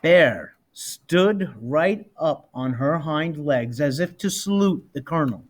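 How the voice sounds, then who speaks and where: Animated man reading a children's storybook. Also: a little high. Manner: animated, deliberate. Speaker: storybook reader, teacher. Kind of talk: expressive children's read-aloud.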